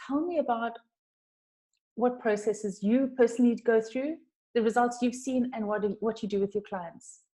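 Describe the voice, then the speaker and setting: A South African woman hosts a casual interview with a fairly high voice. She talks in a chatty, effusive way and puts emphasis on her points. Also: normal speed, warm tone, poor audio. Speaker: interview host, branding coach.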